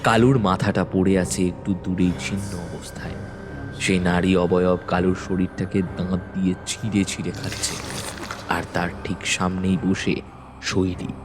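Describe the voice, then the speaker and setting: Deep-voiced man narrating horror. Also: flat delivery, music in the background. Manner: measured, suspenseful storytelling.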